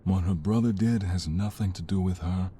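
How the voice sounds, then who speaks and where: A man's voice: sits low, moderate pace, dark tone, a little hiss or hum, natural voice. An actor playing a stern, principled older mob father in an audio roleplay, speaking with grave authority. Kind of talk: dramatic audio roleplay acting.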